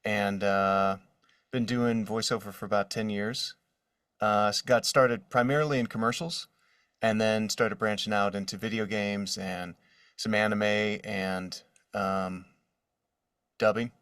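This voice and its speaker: A man in his forties speaking casually as an interview guest, telling stories in an animated manner from his studio. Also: fairly low pitch, a little slow, clean tone, no echo, recorded from a distance. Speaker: guest, voice actor. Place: his own voiceover studio.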